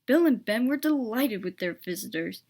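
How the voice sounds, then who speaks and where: A woman with a fairly high voice narrates a children's storybook. She reads from the text as a storyteller. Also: lively intonation, moderate pace, darkish tone.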